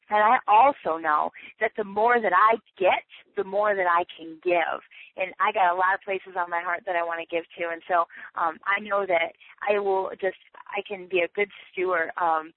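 A woman's voice: mid-range voice, no echo. Chatty woman coaching over a tinny phone line. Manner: emphatic, repetitive, chatty. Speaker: direct-sales team leader. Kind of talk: motivational sales training talk. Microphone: phone call.

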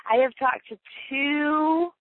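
A woman's voice: high voice, slow pace, no room sound. A woman leading a motivational sales training talk for her team, chatty and emphatic, often repeating her points. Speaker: direct-sales team leader. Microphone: phone call. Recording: tinny dry phone line.

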